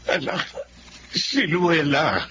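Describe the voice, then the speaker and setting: A concerned father speaks gravely and gently in a radio drama. His mid-pitched voice moves expressively, on a muffled, noisy recording. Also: sing-song, very slow, heavily acted. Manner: grave, consoling. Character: concerned middle-aged father, gentle and cautioning. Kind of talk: Filipino radio drama acting.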